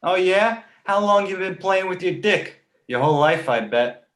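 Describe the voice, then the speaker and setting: A man voicing a cheeky, crude talking baby boy in an animated comedy table read, sarcastic and blunt. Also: sits high, normal speed. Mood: mocking. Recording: roomy echo.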